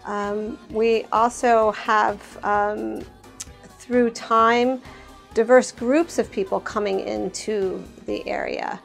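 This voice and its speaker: A woman speaks slowly in a gravelly voice as an interviewee. She pauses often and fills the gaps with "um" and "uh", while soft music plays behind her. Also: fairly high pitch, steady tone. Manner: hesitant, many fillers. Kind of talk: interview answer.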